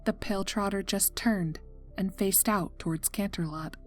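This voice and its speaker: A woman's voice: medium pitch, normal speed. A woman reading scripted story narration for a fan fiction audio drama. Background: music.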